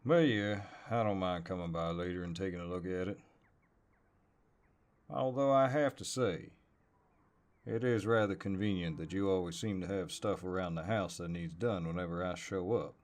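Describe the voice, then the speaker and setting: A very low-voiced Southern cowboy, acted by a man, speaks in an unhurried, folksy drawl. He is an easygoing, playful neighbor who flirts and teases gently in an intimate audio roleplay. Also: lightly acted. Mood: playful, flirtatious. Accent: American Southern. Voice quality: deep.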